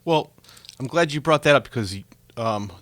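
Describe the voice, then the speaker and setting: Interview host, man, medium pitch. Chatty, supportive delivery, dry recording. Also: lively intonation, normal speed, fairly bright tone, no echo. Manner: encouraging, complimentary to the guest. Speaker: talk show host interviewing a guest. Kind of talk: conversational interview.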